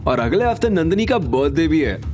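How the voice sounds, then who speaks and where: Man acting arrogant male rival, taunting, boastful, lively swings, audio drama, faint music. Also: a little high, brisk, voice pushed up. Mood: smug taunting. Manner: smug, boastful.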